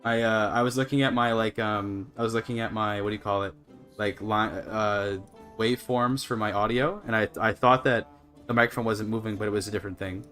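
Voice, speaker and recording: A man's voice: fairly low pitch, fairly even intonation, brisk, fairly bright tone, soft background music. A Canadian man chatting casually to his livestream audience, rambling and apologetic as he goes. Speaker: live streamer. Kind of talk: casual gaming livestream chat.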